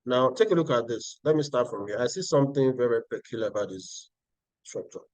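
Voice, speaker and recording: A man's voice: mid-range voice, lively intonation, a little fast, a little mellow, dry recording, low-quality recording. A Nigerian-accented man hosting a live trading stream, talking viewers through charts and answering their chat. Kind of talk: live-stream market analysis.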